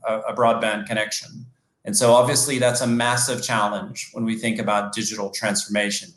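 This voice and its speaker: Male moderator, friendly, measured, video call, echoey room. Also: medium pitch, fairly bright tone. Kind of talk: moderating a panel.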